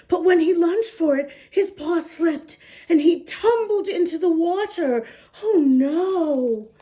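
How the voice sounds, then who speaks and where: Female children's story reader, slow and expressive, gentle mellow tone, talks directly to small listeners. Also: sits high. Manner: expressive, sing-song, addressing small children. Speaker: children's story-time host reading a picture book. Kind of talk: children's read-aloud with asides to young listeners.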